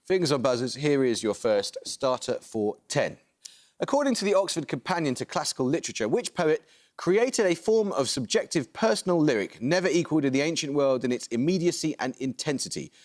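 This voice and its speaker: Brisk male quizmaster reading questions. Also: fairly high pitch, expressive, a little mellow.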